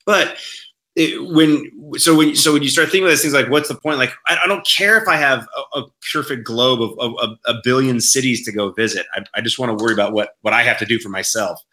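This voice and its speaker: A man, a game developer, chatting in a podcast interview in an animated, joking way. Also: medium pitch. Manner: animated, joking, quick to laugh.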